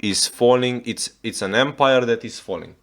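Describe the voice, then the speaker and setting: A man's voice: mid-range voice, moderate pace. A man from Romania speaking English with a Romanian accent as a reaction video host, swearing often and restarting his sentences as he rants in exasperation. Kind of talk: ranting reaction commentary.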